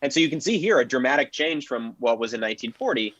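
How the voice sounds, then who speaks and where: A man speaks as a panelist in an informal discussion, with an analytical, explanatory manner. His voice sits at a middling pitch. The sound is dry and close, though a little dull. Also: lively intonation, quick pace, fairly bright tone, no echo. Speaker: panelist, old-time radio historian.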